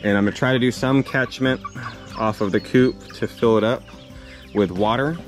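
A man's voice: mid-range voice, moderate pace, soft background music. A man in his thirties casually vlogging outdoors by a chicken coop, explaining his plans to the camera and his son. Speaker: homesteading vlogger. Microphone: handheld camera at arm's length.

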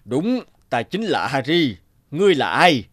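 A sly, haughty horse keeper. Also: male voice, fairly high pitch, big pitch swings, a little slow, a bit bright, exaggerated voice. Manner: haughty, scheming. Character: cunning male herder of sky horses.

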